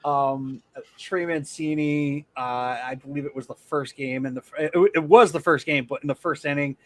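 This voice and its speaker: A man's voice: a little high, steady tone, moderate pace. A man hosting a casual sports podcast, talking loosely with curses and frequent restarts. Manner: loose and swearing, with stumbles and restarts. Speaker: podcast host.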